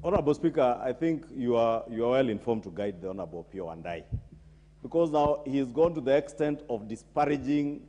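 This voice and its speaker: A man's voice: medium pitch, lively intonation, a little fast, dark tone, big-room sound. A middle-aged Kenyan man, a member of parliament, making a combative, accusing speech in debate. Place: large parliament chamber. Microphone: desk microphone.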